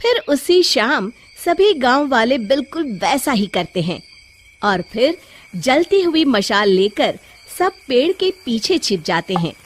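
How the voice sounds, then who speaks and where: A woman's voice: high-pitched, sing-song, takes it slowly, slight background noise. A woman narrating a scripted children's story as the storyteller.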